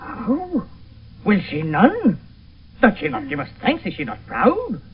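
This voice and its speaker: A man's voice: sits high, huge pitch swings, slow, heavily acted. An actor playing a domineering, hot-tempered noble father with blustering, scornful delivery in classical Shakespearean radio drama. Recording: noisy, tinny old radio broadcast.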